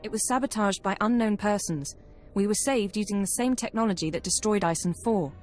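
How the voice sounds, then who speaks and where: A woman playing a brisk, analytical intelligence officer in a video game, reporting in a precise, matter-of-fact way. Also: mid-range voice, a little mellow, faint music behind, lightly acted. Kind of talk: video game cutscene acting.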